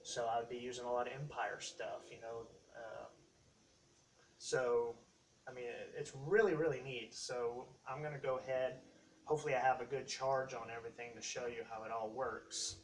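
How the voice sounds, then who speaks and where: A man hosts a casual hands-on gear demonstration. His explanation is halting and rambling, picked up at some distance from the mic with faint noise behind it. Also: mid-range voice, fairly even intonation. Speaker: hobbyist video host demonstrating costume gear.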